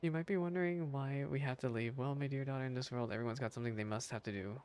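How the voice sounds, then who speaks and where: A man's voice: medium pitch, fast talker, background noise. A man giving casual, reactive playthrough commentary, sometimes reading game text aloud and repeating himself for emphasis. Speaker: gaming reaction streamer.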